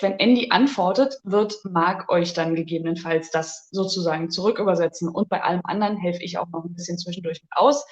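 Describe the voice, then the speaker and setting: A woman co-hosting a casual livestream tasting, lively and chatty. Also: mid-range voice, echoey room, dull audio. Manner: lively, chatty, laughs easily. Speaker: co-host.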